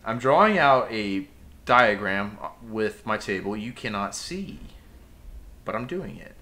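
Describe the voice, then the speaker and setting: A man's voice: on the low side, big pitch swings, measured pace, sharp tone. A young man co-hosting a casual podcast, ranting with passion and bursting into emphatic outbursts. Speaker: podcast co-host.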